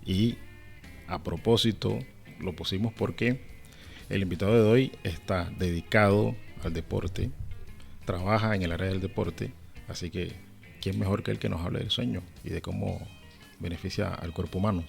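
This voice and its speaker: Male podcast co-host, Cartagena Caribbean accent, deep register with plenty of fry, music under the voice. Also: fairly even intonation, normal speed. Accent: Colombian Caribbean (Cartagena). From Cartagena, Colombia. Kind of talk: casual podcast chat with scripted intro.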